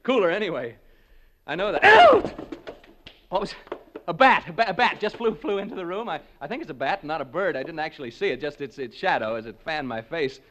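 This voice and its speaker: Nervous skeptic ghost hunter, fairly high voice, swinging pitch, old-time radio play. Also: male voice, very animated, a bit bright, slight background noise. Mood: jumpy. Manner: nervous, halting, addresses listeners directly. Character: skeptical male radio host on a ghost hunt, jittery. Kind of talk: 1940s radio acting.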